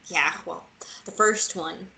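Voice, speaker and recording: A woman's voice: lowish voice, takes it slowly, creaky, bright, forceful tone, exaggerated voice, rough recording. A woman playing a playful, vulnerable young woman in a table read of a romantic fantasy drama, with casual, teasing phrasing. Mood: joking, teasing.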